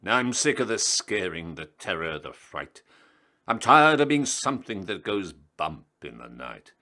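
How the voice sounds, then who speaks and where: A man's voice: low-pitched, very animated, measured pace, sharp tone, heavily acted. A man reading a tired, bored, scheming skeleton from a storybook, declaiming grandly in rhyme. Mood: weary, fed up. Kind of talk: storybook verse character reading.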